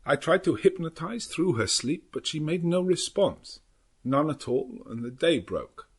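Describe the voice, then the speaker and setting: A male narrator plays an elderly professor who hunts vampires in a gothic audiobook. His husky voice is solemn and anguished. He speaks slowly and dramatically, in halting foreign phrases. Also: fairly high pitch, animated, voice pushed up, exaggerated voice. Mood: grave resolve.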